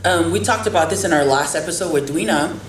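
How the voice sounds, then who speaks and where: A low-pitched woman hosts a faith podcast, chatting earnestly and encouraging her listeners. The recording sounds roomy, with a faint hiss behind her. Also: fast talker. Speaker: podcast host. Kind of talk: casual interview chat. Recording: roomy with faint background noise.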